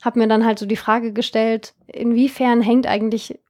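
Young German woman, company founder, chatty interview guest, voice a bit high. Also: level tone, normal speed, a little mellow. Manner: chatty, many fillers and restarts. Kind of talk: casual interview.

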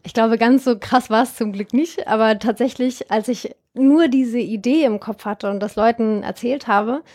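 Young German woman, company founder, chatty interview guest, voice a bit high. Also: moderate pace, a little mellow. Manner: chatty, many fillers and restarts. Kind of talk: casual interview.

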